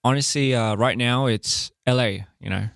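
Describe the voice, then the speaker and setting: A young man from Australia speaking in a laid-back, halting way, often pausing to search for words, as a musician guest in a casual radio interview. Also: medium pitch, gravelly, fairly bright tone, dry recording, clean studio sound. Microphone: studio microphone.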